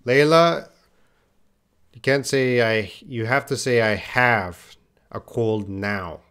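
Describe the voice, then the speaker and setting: Slow, patient male English teacher. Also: mid-range voice, fairly bright tone, clear, resonant tone. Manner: reads out and corrects viewers' answers one by one; patient, explains with repeated example phrases. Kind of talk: live online lesson.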